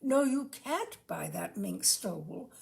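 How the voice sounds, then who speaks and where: A woman's voice: mid-range voice, sing-song, takes it slowly, gravelly, heavily acted. A woman voicing an exasperated father of a family, complaining indignantly to his wife in a comic story reading.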